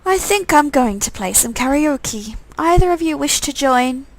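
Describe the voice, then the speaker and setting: A woman's voice: high-pitched, animated, measured pace, rough recording. A woman reading a visual novel aloud as a game streamer, adding playful, wry asides of her own. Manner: playful, wry side comments.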